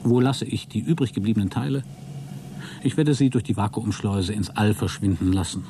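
An actor playing a deluded, exhausted astronaut who keeps a logbook in a radio play, halting, self-justifying and grandiose. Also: male voice, fairly low pitch, steady tone, noisy background, natural voice. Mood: weary, uneasy. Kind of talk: dramatic radio play monologue.

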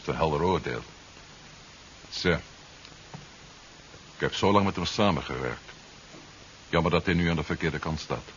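An actor playing a grave, regretful official in a radio drama, speaking in a measured, sober way. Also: male voice, rumbling low. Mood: respectful, regretful. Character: grave official, regretful former colleague of a fugitive. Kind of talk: 1970s radio drama acting. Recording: muffled, hissy old radio recording.

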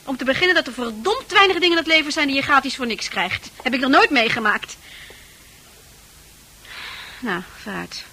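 An actress in a Dutch radio play playing a scornful, proud prostitute with a brash, mocking manner. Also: female voice, high-pitched, animated, moderate pace, long pauses, sharp tone, full character voice. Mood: scornful, impatient. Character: hard, scornful prostitute, proud, wounded underneath.

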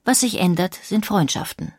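A woman reading scripted biographical narration with measured, deliberate phrasing. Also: medium pitch, husky, dry recording, studio quality. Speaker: documentary narrator. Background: music.

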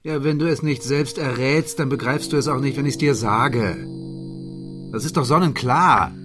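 An actor playing a shrewd, confident gentleman detective in a German radio play, with a brisk, superior, lecturing manner. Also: medium pitch, over music, lightly acted. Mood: smug, impatient. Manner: self-assured, superior, didactic.

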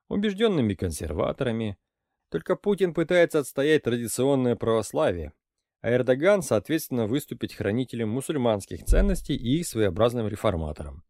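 A man reading an audiobook, voicing a shrewd, calm older intelligence officer who speaks in a dry, ironic, lecturing way. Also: mid-range voice, no room sound.

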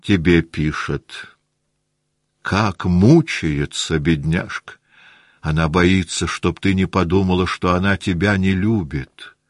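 A man's voice: low voice, slow, no room sound, studio quality. A man reading an audiobook, voicing a blunt, decisive older society matron in an emphatic, commanding manner. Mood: firm, kindly.

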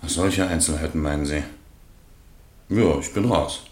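An actor playing an evasive working-class witness in a radio courtroom drama, offhand, terse and defensive. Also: male voice, very deep voice, measured pace, a little mellow. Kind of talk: radio play acting. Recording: roomy courtroom echo.

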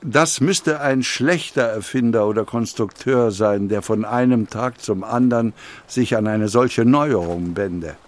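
Mid-pitched man reading a quote. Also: a little slow. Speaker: quote reader. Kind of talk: scripted reading of a historical quote.

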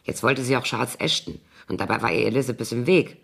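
An actress playing a tipsy, scheming older former nanny in a radio crime drama, brooding and halting in a theatrical way. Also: female voice, a bass voice, full character voice. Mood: brooding, conspiratorial. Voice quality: husky, creaky. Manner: brooding, halting, theatrical delivery dropping into whispers.

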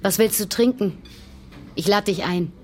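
A woman's voice: mid-range voice, measured pace, darkish tone, slight background noise, lightly acted. An actress playing a grieving, direct young woman who questions people in a naturalistic radio drama. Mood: probing.

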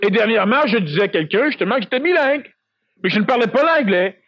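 A man's voice: sits high, lively intonation, fast, dry recording. A man with a Québécois accent giving a public lecture, talking emphatically, repeating himself and firing rhetorical questions at the audience. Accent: Québécois French. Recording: dull lo-fi dry recording.

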